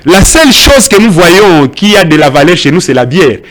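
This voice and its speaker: A man's voice: sits high, sing-song, normal speed, a bit bright. A Congolese man whose first language is Swahili, making an impassioned debate speech full of rhetorical questions. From Congo (DRC). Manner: rhetorical questions, emphatic and preachy. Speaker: debate guest.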